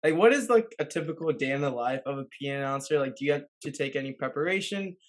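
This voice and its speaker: A man's voice: on the high side, expressive, quick pace. A man interviewing in a casual, chatty way, with loose, slangy, youthful phrasing. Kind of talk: casual chat.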